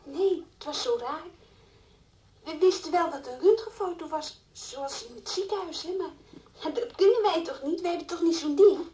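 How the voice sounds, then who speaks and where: Little schoolgirl, high voice, innocent, chatty, earnest, radio play. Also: normal speed, mellow, far from the mic. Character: naive chatty young schoolgirl being questioned. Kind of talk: radio drama acting.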